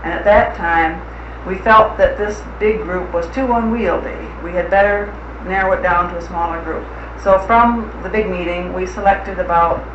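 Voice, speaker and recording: A middle-aged American woman giving an informal advisory talk to a committee in a measured, halting way, recorded on 1960s tape. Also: fairly low pitch, steady tone. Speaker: guest speaker advising a community planning meeting. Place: echoey meeting room, steady noise behind. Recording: noisy muffled 1960s reel-to-reel tape, echoey room.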